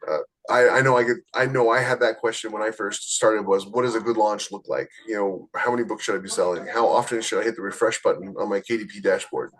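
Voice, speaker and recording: A man giving casual, blunt advice as a podcast host, plain-spoken, with a slightly husky voice. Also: on the low side, fairly even intonation, fast talker, hardly any pauses, fairly bright tone, poor audio. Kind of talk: casual advice chat about book publishing.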